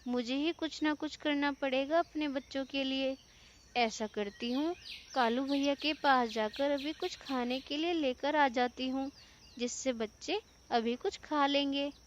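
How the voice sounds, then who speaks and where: A woman voicing a gentle, worried mother bird in a children's cartoon, speaking tenderly and earnestly. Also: high voice, measured pace, lo-fi sound, slight background noise. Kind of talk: children's cartoon story acting.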